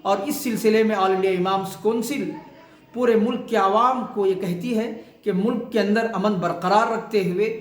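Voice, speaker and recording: High-pitched man, formal statement, echoey room. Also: fairly even intonation, normal speed. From India. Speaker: imam council leader giving a public statement.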